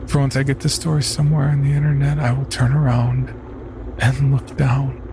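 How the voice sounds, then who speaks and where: An actor playing a haunted, disfigured young man narrating a first-person horror tale with somber, hushed dread. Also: medium pitch, monotone, moderate pace, background noise, close to an everyday voice. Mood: tense, fearful.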